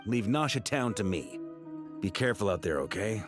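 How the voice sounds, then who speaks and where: An actor voicing a friendly, wry knight in a video game, talking in a casual way. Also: male voice, lowish voice, animated, moderate pace, music in the background, lightly acted.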